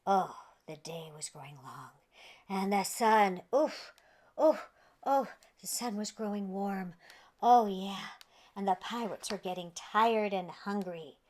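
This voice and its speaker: A woman's voice: mid-range voice, animated, slow pace. A woman hosting a children's read-aloud, narrating a picture book in a playful, exaggerated way aimed at young listeners. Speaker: children's storyteller and host.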